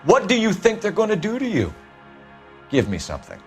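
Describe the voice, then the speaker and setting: A man's voice: high voice, wildly swooping pitch, brisk, a little mellow, soft background music, full character voice. An actor playing a stern, relentless police detective in a video game, clipped and formal in his pressing questions. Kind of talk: video game drama acting.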